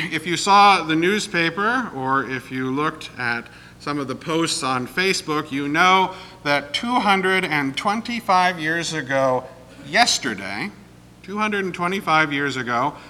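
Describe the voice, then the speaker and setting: Slow, bright-voiced male pastor in a reverberant sanctuary. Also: on the high side, slight background noise. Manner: measured, deliberate preaching with clears of the throat. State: getting over a cold, slightly croaky. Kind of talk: sermon. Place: church sanctuary. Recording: long reverb of a church sanctuary.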